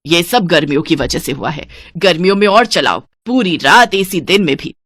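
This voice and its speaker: A woman's voice: on the low side, expressive, normal speed, fairly bright tone, dry recording, studio quality. A woman voicing a stingy, scolding middle-aged mother-in-law in a cartoon moral story, bossy and grumbling.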